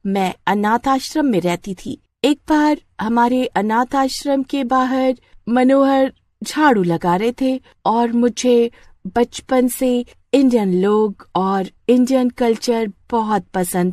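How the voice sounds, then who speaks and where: A woman's voice: on the high side, takes it slowly, a little mellow, no echo, studio quality. A woman voicing a cheerful, earnest young English bride who mixes English phrases into her Hindi in a moral story. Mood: earnest, hopeful. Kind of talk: animated moral story dialogue.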